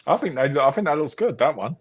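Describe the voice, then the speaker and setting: An easygoing man chatting casually. He answers in short, agreeable remarks and sometimes adds a quick thought of his own. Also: a little high, big pitch swings, slightly rough. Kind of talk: casual chat.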